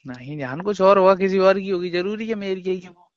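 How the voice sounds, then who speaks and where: A man's voice: sits high, fairly quick, no echo. A young man in casual talk with his girlfriend on a phone call, pleading and reassuring. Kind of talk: casual phone chat between a couple. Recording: dull, dry phone call recording.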